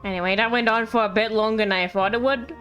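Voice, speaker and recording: A woman's voice: fairly high pitch, fairly even intonation, fast talker, fairly bright tone, lo-fi sound. A woman doing casual live game stream commentary, playful and rambling with mock-indignant asides. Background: game music.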